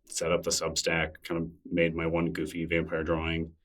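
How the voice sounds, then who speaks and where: A man with a very low voice talks in an easy, enthusiastic way during an interview, often breaking into laughter. He sits a little back from the microphone. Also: monotone, normal speed, a bit bright, recorded from a distance. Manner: chatty, enthusiastic, laughs easily. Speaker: podcast guest, newsletter creator. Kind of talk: casual interview conversation.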